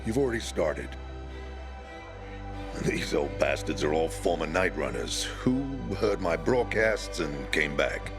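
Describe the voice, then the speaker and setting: An actor playing a gruff, commanding older veteran leader in a video game cutscene, blunt and gravelly. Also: male voice, rumbling low, animated, slow pace, over music, exaggerated voice. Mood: warm, reflective.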